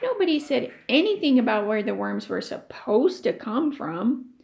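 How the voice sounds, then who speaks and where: A woman's voice: fairly high pitch, sing-song, moderate pace, gravelly, darkish tone. A woman voicing a sly, devious young boy who argues his schemes in a children's storybook. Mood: argumentative. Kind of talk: children's storybook read aloud.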